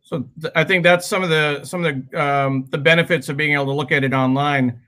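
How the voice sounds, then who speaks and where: Male livestream co-host, bright forward tone, higher-set voice, casual and halting, on a video call. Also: steady tone.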